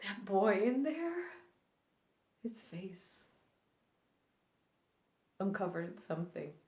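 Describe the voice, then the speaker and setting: A woman performing a dramatic stage monologue as a reclusive widow who haltingly breaks a long silence, in short, pained phrases. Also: medium pitch, sing-song, full character voice. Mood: halting, hushed. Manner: halting, short broken phrases, sighing, swinging from hushed to pained. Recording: roomy, echoing indoor sound.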